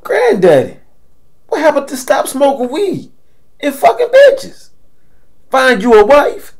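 A man as a sheepish grandson, very animated pitch. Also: slow pace, full character voice. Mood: indignant. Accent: American. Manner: hesitant, polite, defensive. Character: sheepish, deferential grown grandson, late thirties. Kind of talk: audio drama dialogue.

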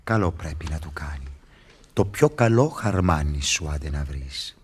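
An actor in a radio drama playing a sly, mocking devil who wheedles and teases in a declamatory way. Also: male voice, extremely low pitch, expressive, husky, full character voice. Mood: conspiratorial. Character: sly, mocking devil tempter and fixer, male. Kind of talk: theatrical radio drama acting.

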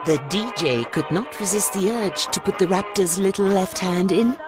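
A woman playing the wry storyteller of a quirky radio drama game, narrating in a measured, dramatic way. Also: medium pitch, lightly acted. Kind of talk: scripted narration. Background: music.

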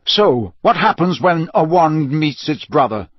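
A narrator, a man, plays an intense, protective male wizard in a storybook. He is sharp and abrupt, with a husky voice pitched a bit high. The recording is slightly dull. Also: sing-song, a little slow, no room sound. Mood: sharp, urgent.

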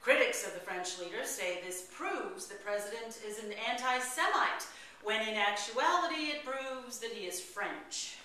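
High, animated woman in an echoey room. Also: moderate pace. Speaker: comedy performer reading satirical headlines. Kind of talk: mock newscast read aloud from script at rehearsal. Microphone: far camera. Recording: echoey room, distant camera mic, faint noise. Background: small group of colleagues in the room.

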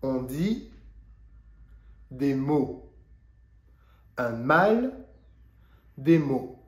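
Stuffy-nosed French teacher, echoey room. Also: male voice, mid-range voice, sing-song. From France. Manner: slow, deliberate teaching delivery. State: stuffy nose from a cold. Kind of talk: language lesson to camera. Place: living room indoors. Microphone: lapel mic.

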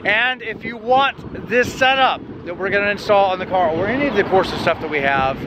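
A slightly hoarse man in his thirties talks straight into a handheld camera out on an open paved lot, his voice sitting a touch high. He keeps an upbeat, hyped-up delivery as he hosts his car vlog. Gusts of wind rush clearly across the recording. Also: a little fast. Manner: energetic, hyped-up pitch. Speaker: car channel host. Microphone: camera held at arm's length. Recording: outdoor clip with loud wind noise. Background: wind noise.

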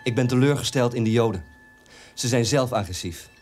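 A woman's voice: a bass voice, fairly quick. An actress playing a bitter, disillusioned woman in a radio drama, declaiming with contempt. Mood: contemptuous. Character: bitter, disillusioned woman scorning student activists. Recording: radio play with loud music.

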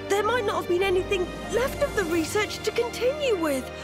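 A woman playing an earnest, gentle young boy researcher in a video game, polite and eager. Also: very high-pitched, lively intonation, a little fast, loud music behind the voice. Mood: encouraging.

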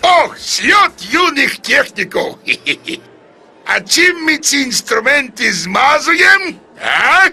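A man voicing a loud, cheeky cartoon airport rascal, mocking and exaggerated, gravelly and piercing. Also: extremely high pitch, very animated, very slow, full character voice. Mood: gleeful. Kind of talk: comic cartoon dub. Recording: music behind the voice.

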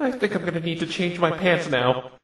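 A man's voice: fairly high pitch, expressive, fairly quick, loud music behind the voice, lightly acted. A man playing a dry, sarcastic, easily exasperated young defense lawyer in a fan-dubbed visual novel. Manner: dry, sardonic. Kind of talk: fan-dub visual novel character acting.

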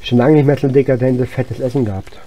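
A man with a very dark, mellow voice comments casually on a game he is playing. He talks as if thinking aloud, in an unhurried way, with a soft-edged, muted tone. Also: mid-range voice, fairly even intonation, poor audio. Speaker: gaming video host. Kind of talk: casual let's-play commentary.

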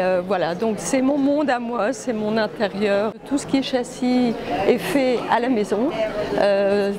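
A woman painter speaking casually at an art fair, chatty and hesitant with fillers. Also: fairly high pitch. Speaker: exhibiting painter. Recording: crowd noise in a hall. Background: crowd chatter.

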